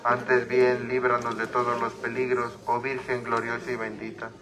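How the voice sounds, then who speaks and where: A man with a Mexican accent leading rosary prayers at an outdoor street altar, reciting in a steady, even chant. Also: mid-range voice, moderate pace, crowd noise. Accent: Mexican Spanish. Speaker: prayer leader. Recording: congregation voices around the mic.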